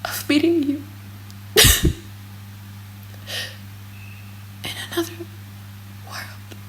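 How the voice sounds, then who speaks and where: Casting: woman to act gentle mother on her deathbed, hushed and faltering, for dramatic audiobook. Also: sits high, level tone, moderate pace, whispered, pitched above the performer's own voice, natural voice, poor audio. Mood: resigned, fading. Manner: soft, faltering deathbed delivery. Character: dying, devoted mother, gentle and resigned.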